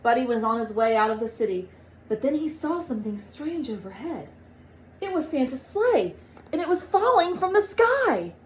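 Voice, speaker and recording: A woman reading a children's storybook aloud in an expressive, emphatic manner. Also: sits high, moderate pace. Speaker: storybook reader, teacher.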